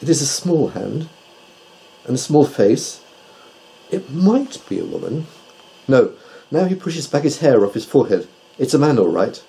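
A proud, exacting owl from a children's storybook, acted out by a male narrator. The voice is pitched up and swoops in lively fashion, with precise, emphatic, self-assured delivery. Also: moderate pace, gravelly, pauses often, poor audio. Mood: intent, precise. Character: male owl with keen hearing, exacting and haughty.

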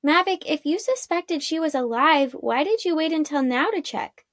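Woman acting an upset, blunt young girl, high-pitched. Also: animated, moderate pace, no room sound, clean studio sound. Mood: incredulous. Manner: incredulous, interrupting, blunt.

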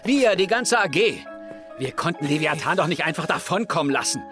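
A man's voice: a little high, lively intonation, a little fast, close to an everyday voice. A man playing a hesitant, defensive youngish teacher. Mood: flustered, stressed. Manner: hesitant, self-justifying. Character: youngish male teacher, embarrassed, defensive, overwhelmed. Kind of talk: audio drama acting. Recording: music behind the voice.

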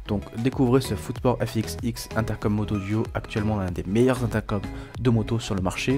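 A man presenting a scripted product review as a voice-over, reading aloud with small stumbles and self-corrections. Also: lowish voice. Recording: voice-over with music behind. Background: background music.

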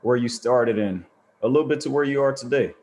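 A man's voice: medium pitch, a little fast, a little mellow, slight background noise. A man hosting a motivational podcast, speaking earnestly and thanking his listeners. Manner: earnest, grateful, encouraging. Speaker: podcast host.

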